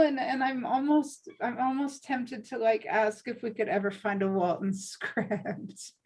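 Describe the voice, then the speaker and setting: Woman w a highish voice, just chatting casually with the group. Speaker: table read participant. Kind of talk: casual chat.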